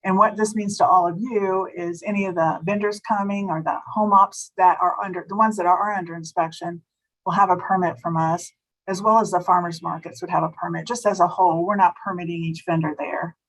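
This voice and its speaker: A woman giving a food safety presentation at a webinar, slightly hoarse and explaining step by step with small restarts. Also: on the low side, steady tone, fairly quick, a bit bright, rough recording. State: slightly hoarse, losing her voice.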